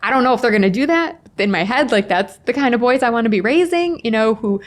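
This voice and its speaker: A woman's voice: a little high, lively intonation, fast talker. A woman in her forties speaking as a guest author in a casual podcast interview, candid and blunt, often voicing little imagined quotes. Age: 40s. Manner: candid, blunt, peppers talk with "like" and acted-out quotes.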